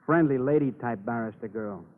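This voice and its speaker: An actor playing a dry, wry police detective in an old radio crime drama, clipped and matter-of-fact. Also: male voice, mid-range voice, a little fast, narrow, tinny audio, lightly acted. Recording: thin, band-limited old radio recording.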